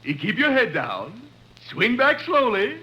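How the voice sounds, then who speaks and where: A man's voice: very high-pitched, wildly swooping pitch, measured pace, lo-fi sound, exaggerated voice. An actor playing an eccentric, money-minded golf instructor in an old-time radio comedy, drawing out his instructions with sudden outbursts. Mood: instructing. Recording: noisy vintage radio recording.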